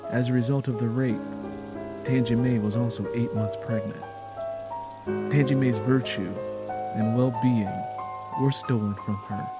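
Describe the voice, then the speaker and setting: A man narrating an audio drama in a measured, solemn, dramatic storytelling style. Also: mid-range voice.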